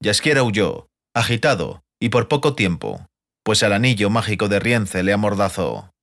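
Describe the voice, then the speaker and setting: A man's voice: on the low side, sing-song, moderate pace, creaky, fairly bright tone. A man narrating a fiction audiobook in a dramatic, scripted storytelling style.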